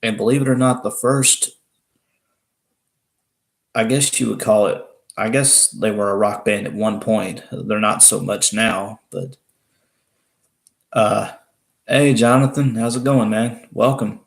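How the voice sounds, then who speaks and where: A man in his twenties from Alabama with a Southern accent and a deep voice, chatting casually with viewers on a live stream. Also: steady tone, a little slow, gravelly, pauses often. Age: 20s. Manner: rambling, chatty, laughs often, restarts. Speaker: livestream host.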